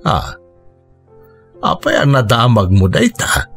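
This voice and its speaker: A man playing a gentle, forgiving middle-aged father with a husky voice, acted for Ilocano radio drama with a Filipino accent. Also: mid-range voice, wildly swooping pitch, slow, soft background music, heavily acted. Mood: puzzled, tender.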